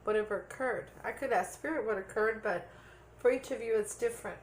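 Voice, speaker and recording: A woman's voice: fairly high pitch, fairly quick, a little hiss or hum, poor audio. A woman giving a tarot reading to viewers in an earnest, repetitive way, checking in with "okay?" and losing her voice a little. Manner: earnest, repeats points with "okay?". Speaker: tarot reader.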